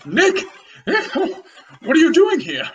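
A young actor playing a doting, gullible bridegroom in old-time radio mystery style. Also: male voice, very high-pitched, huge pitch swings, slow, a bit bright, highs cut off, exaggerated voice. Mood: doting, surprised. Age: young adult. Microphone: video call.

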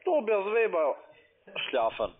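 A man's fairly high voice comes through a narrow, tinny phone line. He has a foreign accent and speaks in halting, broken phrases, like a call centre agent working through a conversation. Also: wildly swooping pitch. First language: not German.

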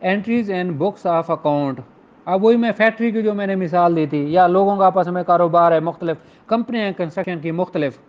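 A man's voice: high-pitched, normal speed. A man with a Pakistani accent giving an informal law lecture, explaining things and mixing in English legal terms. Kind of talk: informal lecture, reading statutes aloud and explaining them. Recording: dull lo-fi recording.

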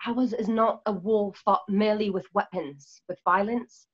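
Radio drama: earnest woman revolutionary, acted by a woman, measured oratory, mid-pitched, dull lo-fi recording. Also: steady tone, slightly rough. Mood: solemn, earnest. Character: solemn idealistic woman rebel preaching nature and unity. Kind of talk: remote radio play reading. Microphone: video call. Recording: dull lo-fi video call audio.

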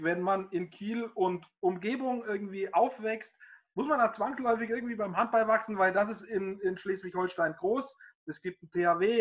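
A man's voice: high voice, a bit bright, dull audio. A middle-aged man, a sports reporter hosting an interview over a video call, asking questions in a friendly, chatty way.

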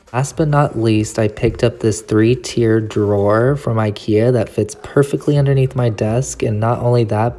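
A woman vlogging her shopping trip and haul, gushing over everything she picked up. She talks into a handheld phone camera in a store and later at her desk at home. Faint music plays softly underneath. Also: very deep voice. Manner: gushing, enthusiastic. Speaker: nail art YouTuber. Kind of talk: casual shopping haul vlog. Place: nail supply store, then home desk, indoors.

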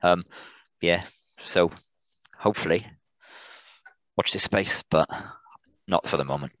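British man, low voice, dry close sound, halting, informal presenter fielding questions. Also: a bit bright, no echo, rough recording. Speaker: air traffic controller, guest presenter. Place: online video call.

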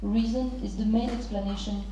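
A middle-aged woman moderating a panel, introducing guests and posing questions formally in halting, deliberate phrases. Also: mid-range voice, steady tone, warm tone, a little hiss or hum. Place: large theatre stage. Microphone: handheld microphone through hall speakers. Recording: very echoey theatre PA, distant, muffled.

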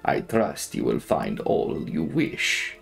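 A man voicing a courtly, sinister aristocratic vampire count in a gothic novel, speaking formally and with slow, drawn-out deliberation. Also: extremely low pitch, sing-song, vocal fry, faint music behind, pitched below the performer's own voice, exaggerated voice. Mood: gracious, formal. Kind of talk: dramatic audiobook reading of gothic novel.

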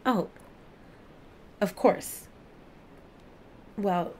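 A woman playing a careful, formal alien scientist in an audio play, speaking precisely with deliberate pauses. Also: mid-range voice, a little hiss or hum. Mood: careful, hesitant. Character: alien witness, careful, formal female scientist-observer of planets.

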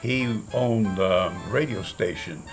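A man's voice: lowish voice, slow pace, over music. An older man telling an anecdote with chuckles, speaking into a handheld mic on a covered porch. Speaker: radio club founder. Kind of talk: casual storytelling. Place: covered porch with a stone wall.

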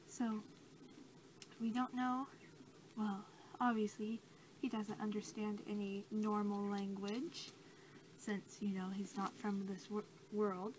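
A soft-spoken young woman doing an improvised ASMR role-play as a researcher, halting and casual, recorded at arm's length in a small bedroom. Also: mid-range voice. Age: young adult. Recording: clearly noisy home recording.

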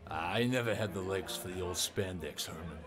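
Low-voiced scornful old mobster played by a man. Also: normal speed, crowd noise, close to an everyday voice. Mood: wry, scornful. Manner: wry, disdainful. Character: scornful older male mobster, belittling father. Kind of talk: comic dub voice acting.

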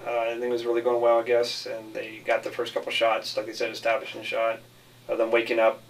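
A man's voice: mid-range voice, monotone, fast, vocal fry, far from the mic, rough recording. A man giving casual interview answers about his work as a film director.

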